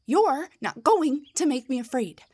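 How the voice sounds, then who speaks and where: A woman voicing an indignant, defensive half-drow bard in a scripted fantasy roleplay audio, with a breathy, theatrical delivery. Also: high voice, big pitch swings, a little slow, a little mellow. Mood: defiant. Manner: theatrical, sarcastic, emphatic, stammers when rattled. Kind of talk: scripted roleplay monologue, one side of a conversation.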